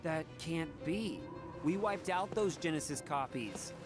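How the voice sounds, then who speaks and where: A good-hearted young soldier from a video game. His voice sits fairly high and moves animatedly, informal and boyish, at a slightly unhurried pace. Music plays clearly behind him. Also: lively intonation. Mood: wary, unsettled.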